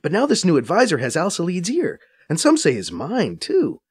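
A man's voice: high voice, moderate pace, breathy edge, pitched above the performer's own voice, heavily acted. Faiza, a man doing a brash, foul-mouthed female agent. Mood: wry. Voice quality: raised, lively, cocky voice. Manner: swears freely and teases. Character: brash, profane, teasing female undercover agent. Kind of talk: audiobook character voice.

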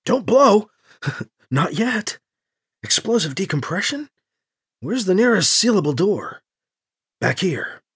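Man playing a wry, husky, anxious hero. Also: sits high, very animated, slow pace, long pauses, fairly bright tone, full character voice. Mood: alarmed. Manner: muttering, sardonic, terse self-talk. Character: wry, anxious adult male protagonist thinking aloud. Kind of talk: audio story character acting.

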